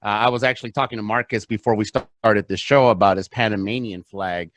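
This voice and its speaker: A man's voice: on the low side, steady tone, brisk, fairly bright tone, slight background noise. A man from South Los Angeles, a real estate investor and guest, earnestly telling his life story and repeating phrases for emphasis. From South Los Angeles, USA. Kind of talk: casual interview storytelling.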